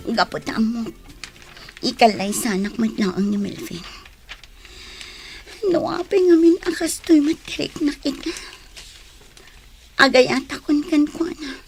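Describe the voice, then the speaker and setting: A woman's voice: high voice, unhurried, long pauses, a little mellow, slight background noise. A woman acting a devoted, modest, lovelorn young homemaker with an Ilocano accent in a radio drama, speaking in an earnest, homely way. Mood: lovelorn, aching.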